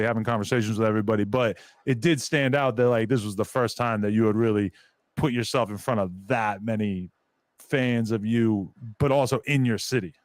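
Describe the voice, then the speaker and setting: A man's voice: mid-range voice, no echo. A man hosting a podcast, interviewing in a casual, reflective, informal way with some mild swearing.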